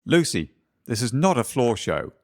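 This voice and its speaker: A man playing a methodical, skeptical investigator in a radio play, brisk and a little lecturing. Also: medium pitch, big pitch swings. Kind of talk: community radio play acting.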